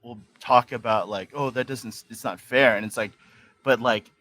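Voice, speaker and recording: A man's voice: mid-range voice, normal speed, fairly bright tone, dry recording, poor audio. An American man with a General American accent, a podcast guest telling stories in casual conversation, rambling with many restarts. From United States.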